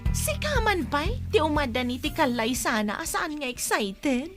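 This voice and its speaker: A nagging, loyal young woman in an Ilocano radio drama. Her high voice swings widely as she prods in an animated Filipino accent, over clear background music. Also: normal speed. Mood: teasing, prodding. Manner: animated, prodding. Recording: music behind the voice.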